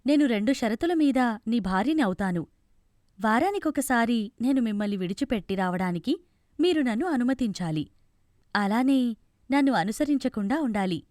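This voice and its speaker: A woman's voice: fairly high pitch, lively intonation, normal speed, darkish tone, no echo, studio quality, natural voice. A woman voicing a young, guarded fairy who speaks formally and insistently in a storybook tale. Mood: firm, insistent. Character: young fairy woman, guarded, setting firm conditions for marriage.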